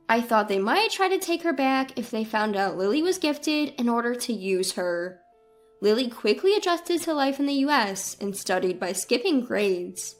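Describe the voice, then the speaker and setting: A woman reading a scripted first-person family story as its narrator. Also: fairly high pitch.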